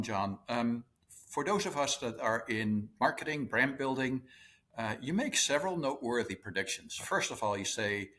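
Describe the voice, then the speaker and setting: A man's voice: mid-range voice, fairly bright tone. A man with a Dutch accent hosting an interview, asking friendly, leading questions. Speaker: interview host. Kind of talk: conversational interview.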